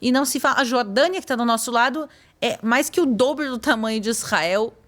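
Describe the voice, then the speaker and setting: A woman's voice: on the high side, fairly quick, a bit bright. A young woman, explaining things at length as a podcast guest. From Brazil. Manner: explanatory, uses "tu" and "pessoal". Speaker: podcast guest, tour guide. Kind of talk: casual podcast chat.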